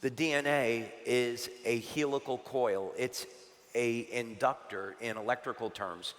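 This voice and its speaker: A man from the United States giving a conference presentation at a convention, relaxed, with light asides and filler words. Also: medium pitch, unhurried. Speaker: convention speaker. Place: large convention hall. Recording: reverberant hall.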